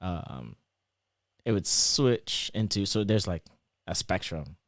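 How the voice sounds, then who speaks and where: A young man whose Trinidadian accent drifts toward American, a guest explaining things in a lively, casual way with lots of examples and fillers. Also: sits low, slow, no room sound, studio quality. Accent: Trinidadian shifting toward American. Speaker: interview guest, PhD student.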